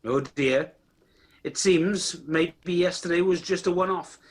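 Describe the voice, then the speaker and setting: A man's voice: high voice, takes it slowly. A man playing the narrator of an amateur radio play, telling the story directly to the listener. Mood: storytelling.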